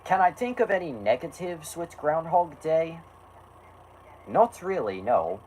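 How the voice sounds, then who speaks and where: A man's voice: a little high, very animated, a little slow, darkish tone, a little hiss or hum. A man from the United States with a sore throat, chatting casually and theatrically. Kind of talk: casual film review chat.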